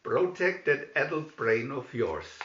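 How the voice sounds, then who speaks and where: Man as merry storybook outlaw chief, bold, hearty, declamatory, animated pitch, echoing room. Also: slow, muffled recording, slight background noise. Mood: defiant.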